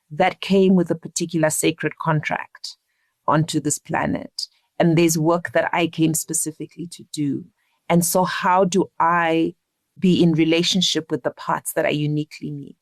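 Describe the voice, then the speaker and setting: Interview guest: woman, slightly low pitch, earnest and deliberate, dry sound. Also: darkish tone, no echo. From Zimbabwe. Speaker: interview guest, leadership coach. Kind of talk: reflective conversational interview.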